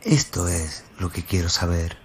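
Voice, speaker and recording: A man plays a stern male school inspector in a radio drama. His low voice questions and commands firmly at a slow, deliberate pace, with clear ambient noise behind him. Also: lively intonation. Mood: probing. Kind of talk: dramatic radio play storytelling.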